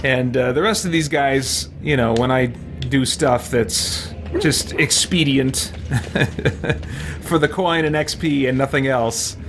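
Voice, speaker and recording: Male game streamer musing over soft music. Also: medium pitch, slow. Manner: musing, thinking aloud. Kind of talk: casual let's-play commentary.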